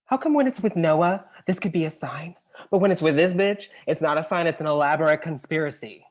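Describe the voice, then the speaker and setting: A woman's voice: low-pitched, brisk. A woman playing a sassy, blunt, judgmental best friend at a comedy screenplay read, with a dry scolding manner. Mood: incredulous.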